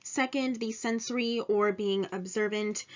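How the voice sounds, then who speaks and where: A woman's voice: mid-range voice, unhurried. Young woman presenting, dull lo-fi audio. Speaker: presenter of personal test results, insurance claims adjuster. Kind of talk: informal spoken presentation. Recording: dull lo-fi recording.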